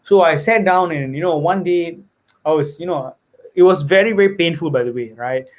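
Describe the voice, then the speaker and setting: A man's voice: a little high, moderate pace. A young man from Singapore, earnestly telling his life story as a podcast guest and often checking in with "right?" and "you know". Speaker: guest investor. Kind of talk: personal story in conversation.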